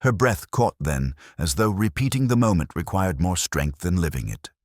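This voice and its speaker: A man with an extremely low voice reads a scripted mystery narration. His delivery is solemn and brooding, rising and falling expressively. The sound is close and dry, with little room around it. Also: sing-song, moderate pace, dry recording. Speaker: narrator of a detective story. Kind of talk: scripted literary narration, first-person mystery.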